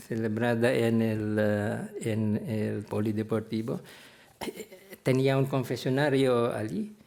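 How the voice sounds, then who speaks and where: An older man from the Philippines, a theology professor, giving a prepared talk of career anecdotes in a halting, hesitant way with frequent pauses. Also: mid-range voice, gravelly, darkish tone.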